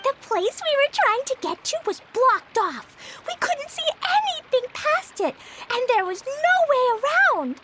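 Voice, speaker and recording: Game casting: bubbly little girl adventurer, extremely high and lively, noisy capture. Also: a little slow, few pauses, heavily acted. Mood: breathless, excited. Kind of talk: animated video game voice acting.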